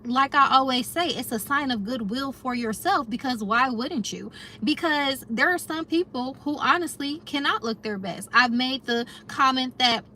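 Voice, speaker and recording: Chatty American woman, higher voice. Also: expressive, normal speed, bright, forceful tone, a little hiss or hum. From United States. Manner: chatty, addresses viewers as y'all, reflective. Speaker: lifestyle vlogger. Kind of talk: casual chat to viewers.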